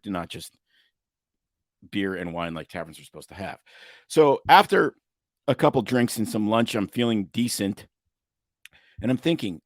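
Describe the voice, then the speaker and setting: A man from Canada tells a story on a podcast with a lively, swooping delivery, animated and joking, and now and then throws in mock ad-announcer bits. His voice sits at an ordinary pitch, and the recording is close and dry. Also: dry recording. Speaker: podcast co-host. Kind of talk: casual comedic chat.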